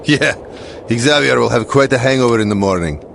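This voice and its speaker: A man's voice: medium pitch, fairly quick, creaky, background noise, natural voice. A man playing a cocky, irreverent soldier in a video game cutscene, talking in a casual, wry way. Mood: smug, amused.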